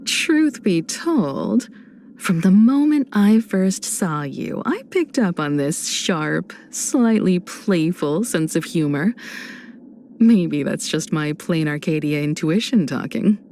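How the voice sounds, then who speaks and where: An actress voicing a composed adult woman space traveler in a video game, a warm mentor who speaks in a measured, reflective, gently teasing way. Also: fairly low pitch, animated, slight background noise. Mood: wistful. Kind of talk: video game character voice lines.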